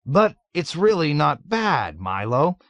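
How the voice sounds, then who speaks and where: A man voicing a jovial, nervous middle-aged colonel who gushes loudly and is eager to please. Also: on the high side, sing-song, slow pace, no room sound, voice pushed up, exaggerated voice. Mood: gushing. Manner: loud, gushing, eager to please.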